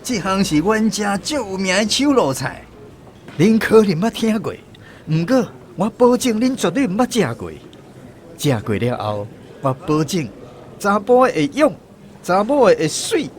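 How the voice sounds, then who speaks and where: Radio drama eager, boastful local chief, Taiwanese accent, high animated declamation. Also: male voice, measured pace. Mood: proudly announcing. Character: proud, eager township chief hosting guests at dinner.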